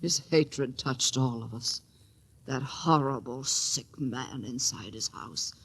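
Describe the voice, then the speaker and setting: A woman playing a fearful, brooding widowed mother in halting, melodramatic 1940s radio style. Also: rumbling low, slightly rough, heavily acted. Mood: haunted, anxious.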